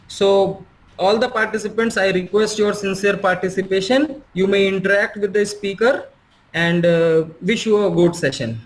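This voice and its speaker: A man's voice: high voice, steady tone, normal speed, a little hiss or hum, low-quality recording. A man welcoming a guest speaker on a video call, formal with many fillers. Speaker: workshop host.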